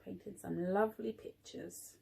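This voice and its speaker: A woman's voice: medium pitch, fairly even intonation, normal speed, gravelly, mellow, slightly rough. A woman, an early years teaching assistant at home, encouraging young children and asking them questions as she reads a story.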